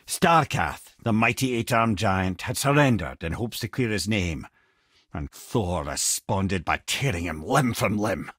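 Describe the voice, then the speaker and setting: Old scottish wise man game companion, husky and wry, super animated delivery, really dry close sound. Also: on the low side, a little slow, no echo, heavily acted. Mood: solemn storytelling. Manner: theatrical, wry, eloquent. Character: Mimir, witty old talking head, learned storyteller and loyal companion. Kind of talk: video game character dialogue, lore storytelling.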